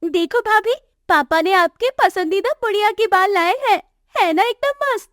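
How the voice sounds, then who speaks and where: A woman's voice: very high voice, lively intonation, normal speed, fairly bright tone, no room sound, clean studio sound, pitched above the performer's own voice. A woman voicing a sweet, girlish young college-going sister-in-law in a moral story, polite in manner. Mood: cheerful. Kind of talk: acted moral story dialogue for animation.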